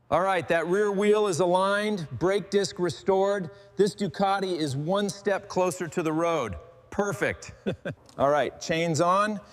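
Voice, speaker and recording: A man hosting and narrating a restoration in a scripted, calm workshop commentary style. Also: high voice. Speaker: host narrating a motorcycle restoration. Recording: long hall-like reverb.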